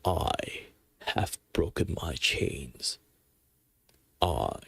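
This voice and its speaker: A man with a sore throat voicing a brooding, defiant male Sith warlord in a slow, solemn, deep delivery for voice acting practice. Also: creaky, husky, no echo, heavily acted.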